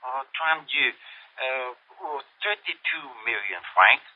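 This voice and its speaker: An actor playing a slimy, mercenary little French informant with an oily, wheedling manner and a French accent, in 1950s radio drama style. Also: male voice, medium pitch, lively intonation, slow. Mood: oily, smooth. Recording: band-limited old radio recording, slightly muffled.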